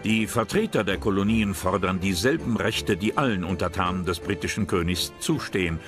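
Low-voiced male documentary narrator. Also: moderate pace. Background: background music.

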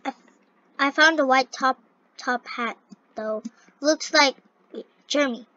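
High, scratchy-voiced young girl gamer. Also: unhurried, bright, forceful tone, dry recording. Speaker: gaming video host. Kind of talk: gameplay commentary, reading on-screen dialogue aloud. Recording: dull, lo-fi close microphone.

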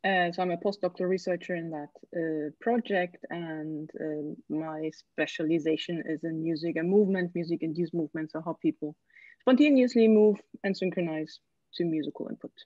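Dull-sounding, low-voiced German-accented woman. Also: normal speed, mellow, no room sound. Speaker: postdoctoral researcher. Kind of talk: informal self-introduction. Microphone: earbuds on a video call. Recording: dull, lo-fi video call.

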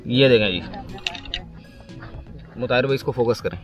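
A man in his thirties from Pakistan, reviewing fishing gear in a relaxed, chatty way while sitting outdoors by a lake, recorded on a camera set a few feet away. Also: medium pitch, moderate pace, music in the background. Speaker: fishing vlogger. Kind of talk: casual outdoor product review. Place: outdoors on a lakeshore under a tree. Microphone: camera on a tripod a few feet away.

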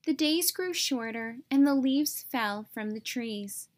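A woman with a very high voice narrates a picture book. She reads slowly and expressively, phrasing everything carefully for young children. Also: animated.

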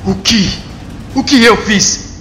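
Man as a high-voiced embattled superhero. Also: slow, slight background noise, full character voice. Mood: anguished. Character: earnest embattled male superhero, defiant, intense. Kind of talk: dramatic motion comic dubbing. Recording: echoey hall reverb, loud music underneath.

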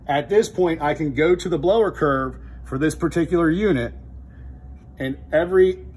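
A man's voice: fairly high pitch, moderate pace, a little mellow. A middle-aged man teaching HVAC in a training room, explaining step by step with plain, methodical talk and frequent restarts. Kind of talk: hands-on technical demonstration. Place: indoor training room with a furnace.

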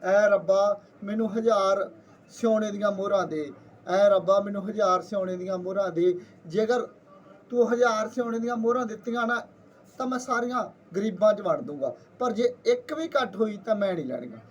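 A man's voice: high-pitched, normal speed, dull audio. A man with a Punjabi accent voicing a sly, artful trickster who feigns innocence, in an informally told folktale. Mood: pleading prayer. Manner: colloquial, bargaining, artful.

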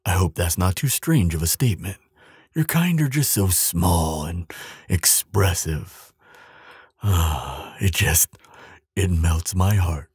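A man playing a huge, gentle giant in an audio roleplay, soft and breathy, speaking in a soothing, doting, unhurried way to the listener. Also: low-pitched, expressive, no echo, clean studio sound, heavily acted. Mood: adoring. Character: huge gentle male giant, tender and caring. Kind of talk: audio roleplay acting, softly spoken to the listener.

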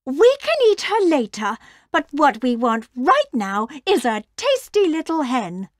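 A woman's voice: high-pitched, very animated, measured pace, no echo, clean studio sound, pitched above the performer's own voice. A woman voicing a bossy mother wolf in a storybook, with a firm, scolding manner.

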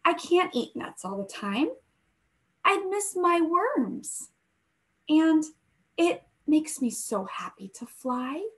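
A woman voicing a friendly, gentle male songbird from a children's storybook, speaking softly and kindly. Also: high voice, sing-song, slow, voice pushed up, full character voice.

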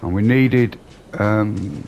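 A man's voice: on the low side, extremely slow, creaky, background noise. A man hosting a gaming channel, giving walkthrough commentary over a video game.

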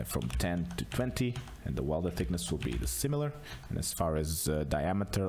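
A man narrating an unscripted screencast tutorial as a software demonstrator, explaining casually step by step. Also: low voice, slight background noise.

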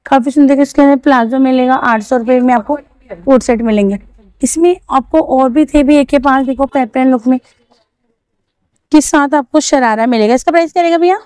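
High-voiced woman touring a street market. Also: moderate pace, darkish tone, no echo, rough recording. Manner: chatty, repeats prices, asks shopkeepers. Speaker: market vlogger. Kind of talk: walking market vlog showing clothes and prices. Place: busy outdoor street market.

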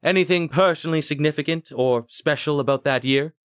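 A man voicing an elegant, mysterious stage magician in an audiobook, theatrical, measured and courteous. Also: a little high, clear, resonant tone, dry recording, clean studio sound, pitched above the performer's own voice, close to an everyday voice. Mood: commanding, mysterious.

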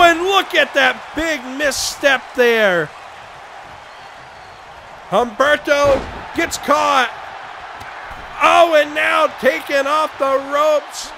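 A man losing his voice, shouting excited play-by-play for a wrestling video game. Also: very high voice, vocal fry. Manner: shouting excitedly over the action. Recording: game crowd noise behind voice. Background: video game arena crowd and sound effects.

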